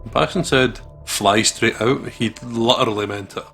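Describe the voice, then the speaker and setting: Male let's player: reads game prose aloud casually, plenty of vocal fry, soft music underneath. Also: medium pitch, normal speed. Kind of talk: reading visual novel narration aloud with casual reactions.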